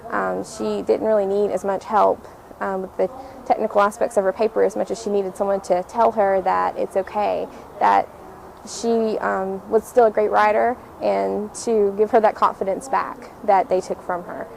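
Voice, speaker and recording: A young woman, a writing tutor, telling a personal story haltingly with frequent ums. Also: medium pitch, little pitch movement, creaky, darkish tone, slight background noise, poor audio. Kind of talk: personal anecdote.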